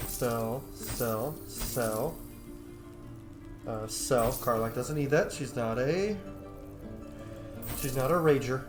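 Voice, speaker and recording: A man's voice: medium pitch, takes it slowly, pauses often. Man muttering through gameplay over music. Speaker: game streamer doing a playthrough. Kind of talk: casual gameplay commentary. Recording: game music behind voice. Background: video game music.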